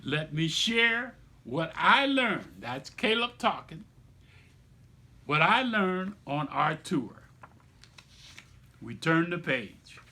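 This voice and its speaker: A middle-aged man reading a book aloud like a storyteller, with emphatic, deliberate phrasing and explanatory asides to listeners. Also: on the high side, big pitch swings, bright, forceful tone. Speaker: author reading own children's book aloud.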